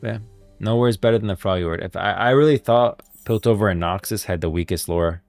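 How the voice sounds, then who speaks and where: Low-voiced man streaming over background music. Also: brisk, gravelly, a little mellow, no echo. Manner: enthusiastic, emphatic, opinionated. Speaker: streamer and game lore commentator. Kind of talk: casual livestream commentary.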